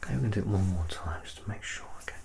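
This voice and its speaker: A soft-spoken man doing an ASMR medical exam roleplay, at times near a whisper, giving gentle, reassuring instructions step by step. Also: low-pitched, rapid-fire, creaky. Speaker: ASMR performer playing a medical examiner.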